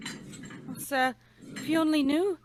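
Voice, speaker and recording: A woman's voice: sits high, slow pace. A woman playing a timid, trembling young working-class English waitress in a radio drama, speaking deferentially. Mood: anxious. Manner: deferential, dialect grammar. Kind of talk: old-time radio drama acting.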